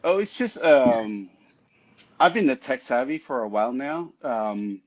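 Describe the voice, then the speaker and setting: A man's voice: medium pitch, expressive, measured pace, muffled recording. A man chatting modestly and a bit hesitantly, with fillers. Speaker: guest, tech-savvy team member. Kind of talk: casual livestream chat.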